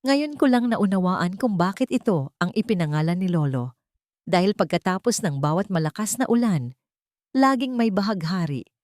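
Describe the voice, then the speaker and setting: A woman voicing a gentle, grieving young male heir who speaks softly and respectfully. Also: medium pitch, sing-song, normal speed, no echo, studio quality, lightly acted. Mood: reflective. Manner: soft, respectful, apologetic.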